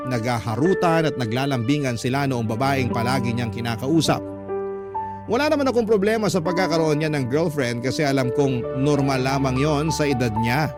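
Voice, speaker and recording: A man narrating a scripted radio drama letter in the first person, measured and sentimental. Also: fairly high pitch. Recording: loud music bed under the voice. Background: prominent music.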